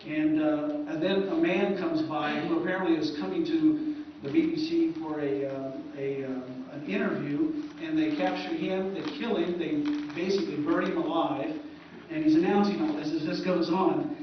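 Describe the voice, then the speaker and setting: Halting man lecturing in an echoey room. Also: fairly high pitch, a little hiss or hum. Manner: halting, rambling, conversational. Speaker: historian giving a convention presentation. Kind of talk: informal lecture. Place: convention presentation room. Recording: echoey, muffled hall recording from far off.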